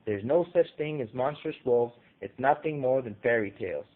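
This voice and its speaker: A man's voice: medium pitch, moderate pace, phone-quality sound, natural voice. A man voicing a pompous, irritated king from a storybook, giving stern, declamatory pronouncements. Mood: annoyed, scolding. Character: pompous, irritated king dismissing monsters, commanding a crowd. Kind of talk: storybook character voice in audio short story.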